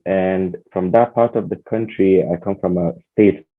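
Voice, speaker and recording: A man's voice: deep voice, fairly even intonation, moderate pace. A man from Nigeria whose first language is Hausa, a podcast guest chatting casually with many fillers and restarts. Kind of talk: casual chat. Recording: tinny phone-like sound.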